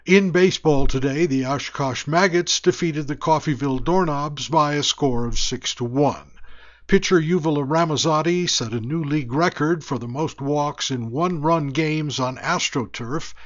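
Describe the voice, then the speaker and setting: A man's slightly raised voice in a mildly dull recording. He is a straight-faced sports newsreader in a radio parody, played by an actor, formal and deadpan. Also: a little high, close to an everyday voice. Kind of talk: parody sports news reading.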